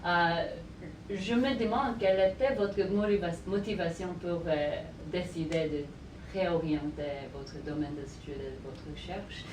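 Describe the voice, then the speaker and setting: A woman from South Korea, a student interviewer, asking questions carefully and haltingly while searching for words. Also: lowish voice. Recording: echoey room, distant mic, faint noise.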